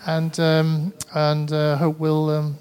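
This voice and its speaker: Mancunian guy giving a talk, sounds worn out, lots of restarts, voice a bit high. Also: monotone, takes it slowly, a little mellow, clear, resonant tone. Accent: Mancunian English. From Manchester, England. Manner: hesitant, restarts often, conversational. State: tired. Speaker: conference speaker. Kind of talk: presentation with audience Q&A.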